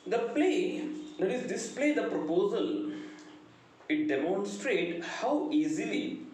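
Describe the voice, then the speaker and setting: A middle-aged man with a South Indian accent giving an explanatory lecture in a deliberate, emphatic, sing-song delivery. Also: high voice, sing-song, darkish tone. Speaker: English lecturer. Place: small indoor room. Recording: very echoey room, faint noise.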